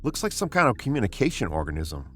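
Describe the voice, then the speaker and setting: A spaceship captain with a low voice, in old radio science-fiction style. He is authoritative and deliberate, giving orders briskly and reasoning things through. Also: wildly swooping pitch, slight background noise. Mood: pondering, intent. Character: male spaceship captain, commanding, pondering, first-person storyteller. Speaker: narrator and lead actor. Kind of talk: 1950s radio drama acting.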